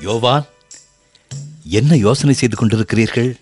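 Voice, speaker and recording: An actor playing Jesus as a compassionate teacher in a radio drama, speaking in a measured, formal and gentle way. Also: male voice, mid-range voice, natural voice. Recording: radio drama with soft music underneath.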